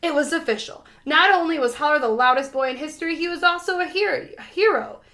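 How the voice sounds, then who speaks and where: A woman narrating a children's storybook aloud in an expressive read-aloud style. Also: high-pitched, fast talker. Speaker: storybook reader.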